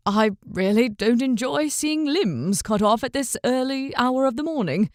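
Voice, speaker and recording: A woman voicing a calm, principled male traveler in an audiobook, speaking slowly and politely. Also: fairly high pitch, no room sound, studio quality. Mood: courteous. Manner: slow, measured, courteous. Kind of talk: audiobook character voice.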